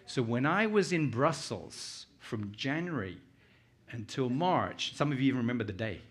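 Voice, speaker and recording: A man's voice: mid-range voice, lively intonation, moderate pace. British man giving an informal talk. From United Kingdom, born in Uganda. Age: middle-aged. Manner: conversational, anecdotal, puts questions to listeners. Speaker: guest conference speaker. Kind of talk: informal conference presentation to a live audience.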